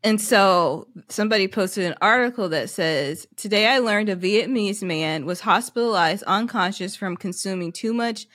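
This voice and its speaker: A woman's voice: mid-range voice, moderate pace, few pauses, a bit bright, no room sound, studio quality. A woman co-hosting a podcast, casually chatting and reading posts aloud. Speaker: podcast co-host.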